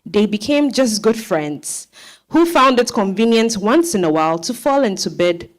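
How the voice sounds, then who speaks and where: A woman's voice: medium pitch, big pitch swings, moderate pace. A woman with a Ghanaian accent, a director and narrator speaking to a live audience at a stage reading.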